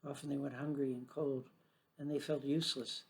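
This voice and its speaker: A man's voice: medium pitch, little pitch movement, brisk, darkish tone. A man reading a scripted children's story aloud as the storyteller.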